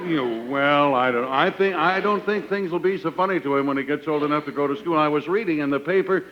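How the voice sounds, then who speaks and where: A man's voice: fairly high pitch, fast talker. A man announcing a 1940s radio comedy show and playing the amiable straight man in the banter. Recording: hissy, muffled old radio recording.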